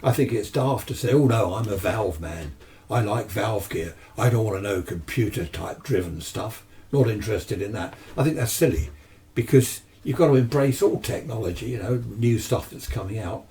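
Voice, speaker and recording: Older British man, low mellow voice. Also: animated, normal speed, a little hiss or hum. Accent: British English. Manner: chatty, enthusiastic, asks and answers himself. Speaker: amateur radio hobbyist presenter. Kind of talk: casual rambling chat to camera. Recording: distant microphone.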